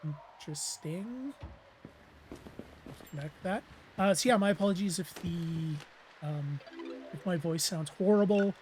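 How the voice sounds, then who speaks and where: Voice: mid-range voice, unhurried, long pauses, background noise. A let's play host giving casual gameplay commentary, rambling with lots of fillers and self-deprecating asides, voice weakened and strained from losing it.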